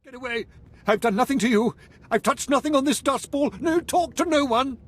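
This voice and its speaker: Husky, frightened old man. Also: very high-pitched, a little fast, fairly bright tone. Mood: panicked. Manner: panicked and theatrical. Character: frightened, paranoid old man, former advisor to a dark lord. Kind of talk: video game voice acting.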